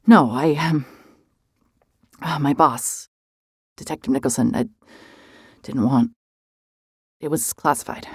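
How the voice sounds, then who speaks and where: A woman's voice: very deep voice, slow pace, pauses often, slightly rough, no echo, clean studio sound, exaggerated voice. An actress playing a hard-hearted, guarded female private investigator, sardonic but shaken, in a mystery horror audio drama. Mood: hesitant, probing.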